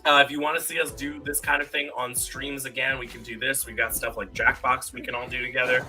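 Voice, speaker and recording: A man's voice: medium pitch, little pitch movement, fairly quick, bright, forceful tone, soft background music, low-quality recording. A man hosting a trivia game on a casual live stream.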